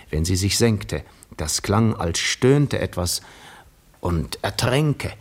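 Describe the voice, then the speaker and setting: An actor playing a weary, dreamy seafarer who narrates his own voyage in measured, literary 1950s German radio drama style. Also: sits low, creaky, darkish tone, husky, full character voice.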